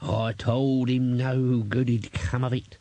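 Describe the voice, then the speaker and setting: A man voicing a cranky, suspicious old male manservant who bawls and grumbles in a slow, drawn-out way. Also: mid-range voice, flat delivery, mellow, no room sound. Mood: grumpy. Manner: bawling, quarrelsome, slow drawn-out.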